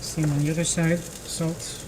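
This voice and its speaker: A woman streaming live while she cooks, relaxed and thinking aloud as she works in a home kitchen. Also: low voice, a little slow. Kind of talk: casual cooking livestream talk. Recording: kitchen noise and sizzling pan. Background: frying and sizzling.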